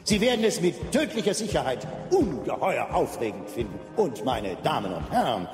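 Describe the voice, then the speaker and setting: A man's voice: mid-range voice, big pitch swings, moderate pace. An actor playing a grandiose, oily cabaret showman who effusively hosts the end of the universe in a radio play. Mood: ominous grandeur. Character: gushing, theatrical showman compering the end of the universe. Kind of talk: bombastic cabaret master-of-ceremonies patter. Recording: echoey hall reverb, music and audience behind.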